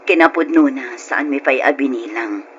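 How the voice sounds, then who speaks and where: A woman's voice: extremely low pitch, normal speed, slightly rough, dull audio, exaggerated voice. An actress playing a meek, grieving middle-aged widow and mother in an old-time Ilocano radio drama, plaintive and hesitant. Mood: subdued, hesitant.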